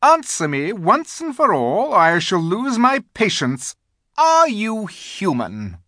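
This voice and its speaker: A man voicing an imperious, menacing witch queen in an audiobook, haughty and commanding, with drawn-out emphatic words and a streak of false sweetness. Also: sits high, huge pitch swings, pushed and bright, no echo, studio quality, pitched above the performer's own voice, heavily acted. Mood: haughty, angry. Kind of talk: audiobook character voice.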